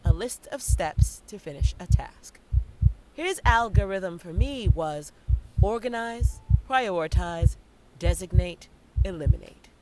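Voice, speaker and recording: A young woman playing a stressed, self-questioning theater student in a dramatic monologue, with theatrical, deliberate delivery. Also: fairly low pitch, lively intonation, background noise. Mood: driven, insistent. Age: young adult. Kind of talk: dramatic monologue in an audio play.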